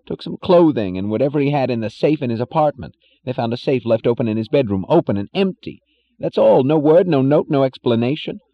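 A man doing a shrill, panicked executive in a frenzy. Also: expressive, fairly quick, no echo, lo-fi sound, natural voice. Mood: frantic. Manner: shrill, frantic, pleading outbursts. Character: panicked, whining middle-aged male executive.

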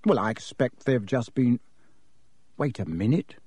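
A man's voice: medium pitch, expressive, unhurried, darkish tone, slightly rough, no room sound. A man voicing an earnest young boy, frightened and indignant, in an audiobook. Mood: suspicious. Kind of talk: audiobook character voice.

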